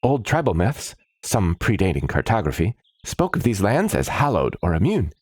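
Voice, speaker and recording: A man's voice: lowish voice, sing-song, moderate pace, a little mellow, dry recording, studio quality, pitched above the performer's own voice. A man voicing an eager, chirpy woman alchemist doctor in a fantasy story, speaking in precise, wordy scientific jargon. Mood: earnest, animated. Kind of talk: fantasy story character dialogue.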